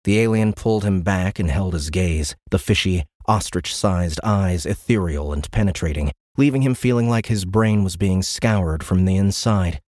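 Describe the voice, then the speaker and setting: A man's voice: low-pitched, normal speed, hardly any pauses, a little mellow, no room sound, studio quality. A man narrating a science fiction audiobook in a dramatic, scripted storytelling style.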